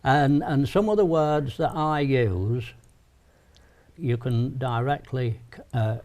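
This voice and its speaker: A man's voice: medium pitch, slow, a little mellow. An older man with a North East Lincolnshire accent, a guest in a studio interview, who talks in a chatty, folksy way. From North East Lincolnshire, England. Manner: chatty, folksy, with frequent restarts.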